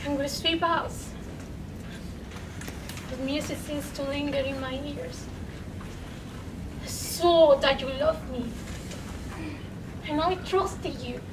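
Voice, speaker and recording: A young woman performing a dramatic poetry reading, slow and pleading with sudden loud swells, in a large room. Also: sits high, fairly even intonation, far from the mic. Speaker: contest reader. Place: large college event room. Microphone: far camera, standing away from mic. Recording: echoey room recorded from afar, noisy.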